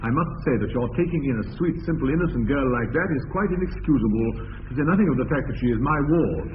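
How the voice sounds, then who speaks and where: An actor playing a formal, easily flustered young gentleman in a classic radio comedy. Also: medium pitch, expressive, fast, natural voice. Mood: indignant. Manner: formal, indignant. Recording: tinny radio broadcast with clear hiss.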